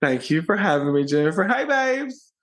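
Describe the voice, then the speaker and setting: A man's voice: fairly high pitch, big pitch swings, normal speed, fairly bright tone. A man, chatting in an interview as a guest, playful and bubbly and often laughing. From Alabama, USA. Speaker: guest, social media personality. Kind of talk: casual interview chat.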